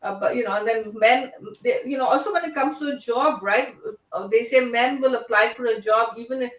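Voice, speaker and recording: Woman, higher-pitched voice, discussion host, conversational, halting restarts, strong room echo, distant mic. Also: fairly bright tone. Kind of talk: casual live group discussion hosting. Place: indoor room on live online video call. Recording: echoey room, distant microphone.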